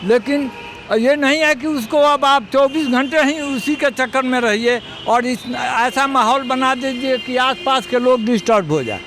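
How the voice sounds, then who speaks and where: A man's voice: very high voice, a little slow, a bit bright. A man giving his opinion to a reporter on a busy street, earnest, and quoting a couplet to make his point. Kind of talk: street interview. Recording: faint traffic noise.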